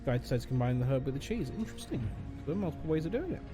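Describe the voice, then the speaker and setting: A man's voice: a little high, big pitch swings, racing, over music. Wry male streamer joking along. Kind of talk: casual game commentary.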